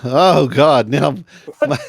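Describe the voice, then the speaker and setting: A man in casual, joking table talk as a player in a tabletop game podcast, halting and stammering at times. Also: medium pitch, creaky.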